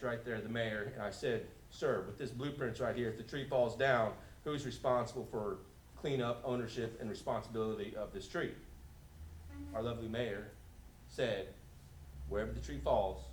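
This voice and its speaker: A man gives public comment as a resident, explaining his case step by step in informal language. His pitch is average, and the room echoes around him with clear background noise. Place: indoor council meeting room.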